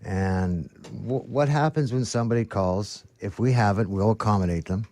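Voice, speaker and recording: A man chatting casually as a radio guest, plain-spoken and a little halting. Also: on the low side, a little mellow, no echo. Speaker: guest, thrift store manager. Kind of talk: casual radio interview chat.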